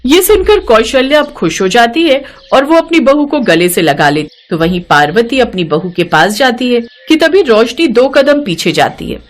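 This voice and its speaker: A woman's voice: on the high side, big pitch swings, normal speed, hardly any pauses. A woman storyteller giving scripted narration of a moral tale.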